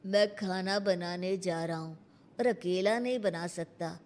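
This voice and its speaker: A woman's voice: fairly low pitch, unhurried, darkish tone, natural voice. A woman voicing a grumpy, blunt village son-in-law with a curt, defensive manner. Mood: defensive, annoyed.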